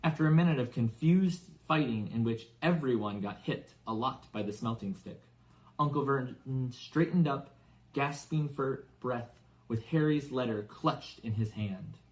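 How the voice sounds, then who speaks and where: A man reading a children's novel aloud as expressive scripted narration. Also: medium pitch, unhurried, low-quality recording. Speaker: audiobook reader for English learners.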